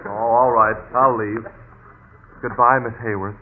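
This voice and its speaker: An actor playing a brash, lovesick army private, cocky and sentimental, in 1940s radio comedy. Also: male voice, medium pitch, fairly even intonation, unhurried, natural voice. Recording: tinny, noisy 1940s radio broadcast.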